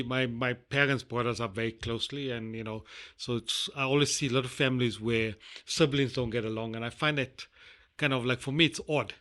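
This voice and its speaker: A middle-aged South African man being interviewed, talking in a rambling, halting way with many fillers. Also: mid-range voice, a bit bright. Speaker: guest. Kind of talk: casual interview chat.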